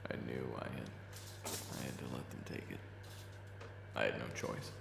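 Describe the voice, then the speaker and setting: Man acting a deep, gravelly, weary everyman. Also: fairly even intonation, normal speed, reverberant, background noise, exaggerated voice. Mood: brooding, resigned. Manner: brooding when narrating, exasperated in dialogue. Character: weary reformed hot-tempered man, a soft-pushover boss. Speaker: narrator and lead character. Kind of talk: dramatic first-person audio roleplay.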